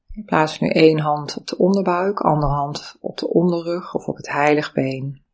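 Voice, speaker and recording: A meditation teacher giving calm, soothing, step-by-step guidance through breathing and body awareness. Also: mid-range voice, moderate pace. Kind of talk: guided meditation instruction.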